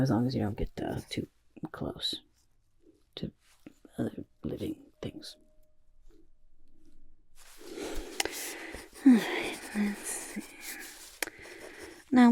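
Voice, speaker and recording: Casting: smug oddball goblin painter for ASMR roleplay; dark raspy tone, swooping intonation, halting mutters and whispers. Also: female voice, fairly low pitch, exaggerated voice. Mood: encouraging, mischievous. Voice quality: raspy, gravelly, often dropping to whispers. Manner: halting, muttering, refers to self in third person. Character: smug, chaotic goblin portrait artist, eager and odd. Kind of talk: ASMR roleplay, personal attention.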